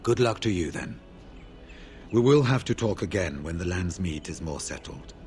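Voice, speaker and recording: An actor playing a weary, grave older warrior in a video game, speaking in a measured, solemn and formal way. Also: male voice, low voice, animated, darkish tone. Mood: grave, resolute. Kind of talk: video game character dialogue.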